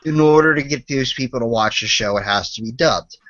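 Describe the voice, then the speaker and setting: A man's voice: medium pitch, fairly quick, fairly bright tone, no echo. A young American man chatting on a podcast, hedging his points with lots of "like". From United States. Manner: hedging, full of "like". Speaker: podcast co-host. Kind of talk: casual chat. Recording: dry, dull lo-fi podcast audio.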